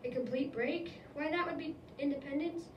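A woman's voice: high-pitched, moderate pace, dull audio, slight background noise, natural voice. A woman playing a proud male colonist who earnestly explains and argues, in an echoey room recorded from a distance. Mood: lecturing. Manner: earnest, lecturing, indignant.

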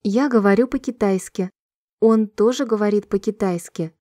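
A woman reading short sentences slowly and clearly for a language-listening drill, repeating each one in a measured, even way. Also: medium pitch. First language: Russian. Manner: clear, measured, evenly paced repetition. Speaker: Russian sentence reader.

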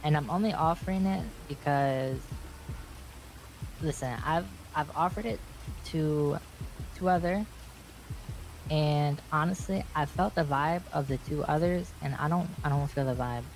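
A man's voice: on the high side, a little slow, long pauses, slight background noise, rough recording. A man roleplaying a laid-back character in a game, talking casually and often repeating himself. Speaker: roleplay player.

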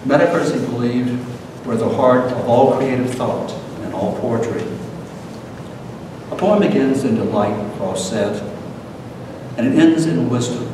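An older man giving a scripted public lecture as the host of an event. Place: meeting room, at lectern, far camera. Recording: very echoey hall from far off, noisy rumble.

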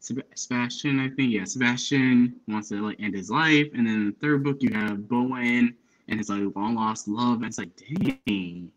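A man's voice: mid-range voice, normal speed. A young adult man in casual, loosely phrased conversation as a panelist on a book video call. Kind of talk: casual chat. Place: indoor home room on a video call. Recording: slightly dull video-call audio.

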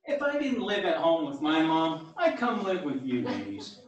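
Echoey, high-pitched witty tennis instructor played by a man. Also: big pitch swings, moderate pace, highs cut off. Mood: wry, friendly. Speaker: actor in a staged reading. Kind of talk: staged play reading. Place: small theatre stage, indoors. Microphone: far camera. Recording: very echoey theatre, distant microphone.

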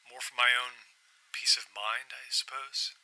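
An actor playing a polite, persistent, obsessed man in an audio drama, speaking in a hesitant, apologetic and halting way. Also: medium pitch, level tone, pushed and bright, rough recording. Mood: resigned, pressing. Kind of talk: naturalistic audio drama acting.

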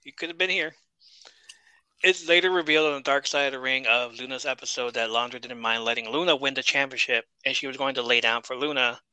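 Bright, crisp-voiced male podcast host. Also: medium pitch, fairly even intonation, fast talker, dry recording, poor audio. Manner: chatty, reads notes, jokes and laughs. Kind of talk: casual wrestling review chat.

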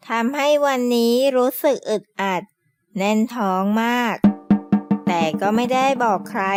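A woman narrating a scripted children's story, explaining things to young listeners as friends. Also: on the high side.

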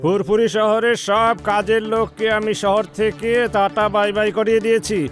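A man's voice: sits high, flat delivery, moderate pace, faint music behind, natural voice. A man voicing a boastful henchman in a cartoon dub, with a gloating delivery.

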